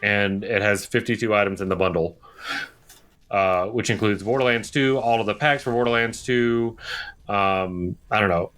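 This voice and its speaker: A man with a fairly low voice talks casually as a podcast co-host. He explains his points conversationally, easing into sentences with hesitant restarts. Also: moderate pace, a bit bright.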